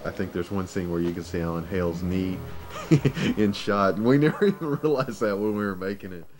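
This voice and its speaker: A man with a very low voice reminisces casually, as if being interviewed about old amateur film projects. His delivery is informal and a bit halting. Music is clearly audible behind him. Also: a little mellow.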